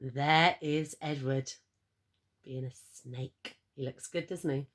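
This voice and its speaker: A woman reading a picture book aloud to children, theatrical and expressive, with encouraging asides and questions to young listeners. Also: very deep voice, takes it slowly.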